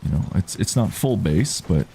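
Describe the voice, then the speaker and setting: Relaxed male streamer, low dark baritone, easygoing commentary over light background noise. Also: gravelly. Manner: calm, unhurried, reads chat aloud. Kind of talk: relaxed live gameplay commentary.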